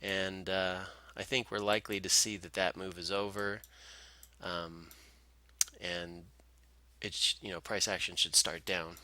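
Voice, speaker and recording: Bright, forceful low-voiced man explaining charts. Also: little pitch movement, a little slow, no echo. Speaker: market analyst presenter. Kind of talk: informal screen-share chart commentary.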